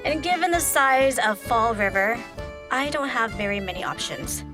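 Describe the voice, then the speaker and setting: A woman's voice: on the high side, animated, normal speed, over music, lightly acted. A woman playing a prim, sly and menacing spinster heiress in an audio play, with haughty, ornate phrasing. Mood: haughty, purposeful. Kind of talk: theatrical audio play acting.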